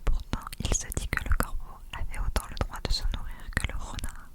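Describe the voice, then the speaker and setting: A woman reading a novel aloud as whispered ASMR, in a breathy, unvoiced, soft and unhurried narration.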